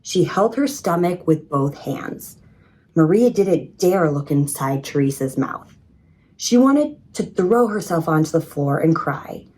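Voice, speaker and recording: A woman reading a children's story in an expressive, measured storytelling manner. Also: deep voice, poor audio. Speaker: storybook reader. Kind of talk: scripted children's story narration.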